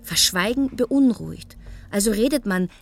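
Radio drama: actress plays troubled, argumentative woman, medium pitch, emphatic and insistent, music underneath. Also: animated, normal speed, close to an everyday voice. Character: troubled, argumentative woman worn down by doctor visits. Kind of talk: German radio play dialogue.

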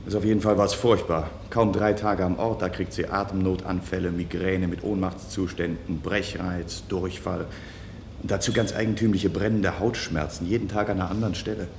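An actor playing a friendly, blunt laboratory colleague in a German radio play, speaking colloquially. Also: male voice, low-pitched, steady tone, normal speed, gravelly, darkish tone, reverberant, slight background noise. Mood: confiding.

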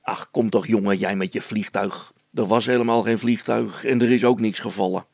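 A man playing a bold, gruff man in a story, scolding a boy in a blunt, dismissive, colloquial way. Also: lowish voice, fairly even intonation, moderate pace, a little mellow, no echo.

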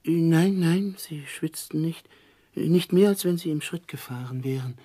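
An actor playing an old, scatterbrained chief clerk who haltingly bears bad news in a 1960s German radio drama. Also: male voice, a little high, warm tone, husky. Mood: agitated. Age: older. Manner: halting, hesitant.

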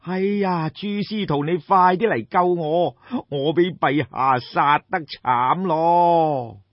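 A man's voice: on the high side, takes it slowly. A man with a Cantonese accent playing an obsequious, theatrical minister to an ancient king in an audiobook. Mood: mock despair. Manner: obsequious, sighing, deferential. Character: fawning, theatrical male court minister to a king. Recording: dull, roomy lo-fi recording.

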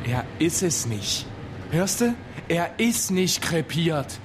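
Frustrated young worker, noisy ambience. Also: male voice, fairly high pitch, big pitch swings, takes it slowly. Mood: tense. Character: frustrated young working-class man, blunt and disillusioned. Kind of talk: naturalistic German radio drama acting.

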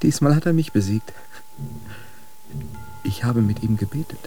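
Brief: male actor as an anguished young monk, lively wavering pitch, radio play. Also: moderate pace, close to an everyday voice. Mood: despairing. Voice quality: intense, anguished. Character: tormented young male friar. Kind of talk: radio drama acting.

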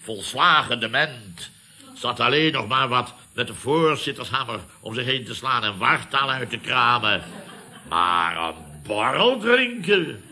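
Radio comedy role: pompous, nostalgic building-firm boss, very bright forceful voice, grand orator's delivery. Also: male voice, medium pitch, expressive, measured pace, exaggerated voice. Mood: relishing nostalgia. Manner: pompous, orating, emphatic. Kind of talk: Dutch radio comedy serial acting.

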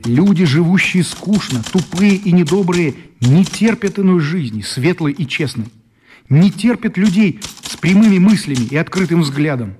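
An actor playing an impassioned journalist who reads out an article in a radio play, solemn, emphatic and declamatory. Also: male voice, a little high, animated, moderate pace, a little mellow, soft background music, natural voice. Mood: solemn indignation. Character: impassioned journalist voicing his newspaper article. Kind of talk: dramatic reading in a radio play.

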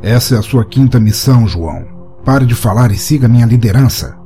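A man's voice: medium pitch, a little fast, warm tone, faint music behind, natural voice. A man voicing a grumpy, seasoned older monster-hunter leader, stern and commanding, in an audio short story.

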